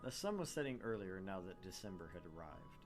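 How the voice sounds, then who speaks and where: A man's voice: low-pitched, sing-song, a little fast, soft background music. A man narrating a visual novel as a gaming host, with irreverent asides.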